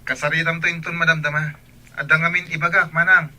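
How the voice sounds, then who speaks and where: Bright, higher-voiced young Ilocano brother. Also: male voice, flat delivery, fast talker, slight background noise. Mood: earnest, concerned. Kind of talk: Filipino radio drama acting.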